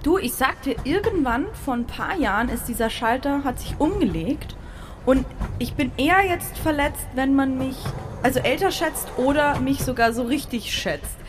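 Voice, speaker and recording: A young woman co-hosting a casual podcast chat, telling stories in an animated way, laughing often and swearing now and then. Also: high-pitched, normal speed. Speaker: podcast co-host. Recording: clear background noise.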